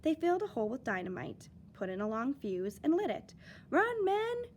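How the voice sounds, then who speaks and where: Woman teacher, story read-aloud; lively, dramatic emphasis, slightly high voice, faint noise behind. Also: fairly quick. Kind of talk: children's picture book read-aloud.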